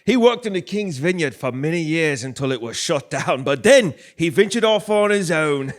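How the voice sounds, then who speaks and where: A man's voice: fairly high pitch, expressive, moderate pace, natural voice. A man playing a proud, naive royal servant, deferential and earnest, in a comedic stage play. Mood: proud, amused. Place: theatre stage before live audience.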